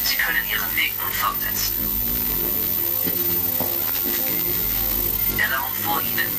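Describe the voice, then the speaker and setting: An actor playing a polite, literal-minded combat robot in a retro sci-fi radio play, speaking formally and announcing each emotion. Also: male voice, lowish voice, huge pitch swings, fast, vocal fry, exaggerated voice. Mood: dutiful, matter-of-fact. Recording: distant, crackly vintage radio-play record. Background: music.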